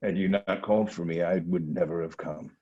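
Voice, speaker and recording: An actor playing a stern, foreboding blind old prophet in a dramatic reading over a video call, with a low, husky, deliberate delivery. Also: male voice, fairly even intonation, dark tone. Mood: cutting retort. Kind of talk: Zoom dramatic reading of a Greek tragedy. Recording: dull lo-fi video call audio.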